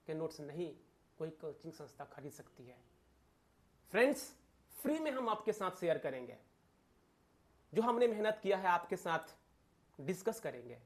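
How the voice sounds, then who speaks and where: High-pitched man teaching emphatically. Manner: emphatic, repeats key phrases, asks rhetorical questions. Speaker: exam coaching teacher and channel host. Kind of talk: informal exam-analysis lecture addressed to viewers.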